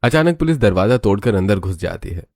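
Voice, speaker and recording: Low, dry male story narrator. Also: very animated, fairly quick, a little mellow, dry recording. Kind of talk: scripted dramatic story narration.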